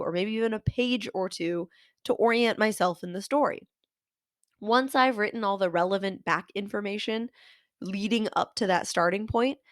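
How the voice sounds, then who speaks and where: A woman podcast host and author, sounding nasal and congested from a cold, giving a measured, scripted lesson on fiction writing. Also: mid-range voice, no echo, studio quality.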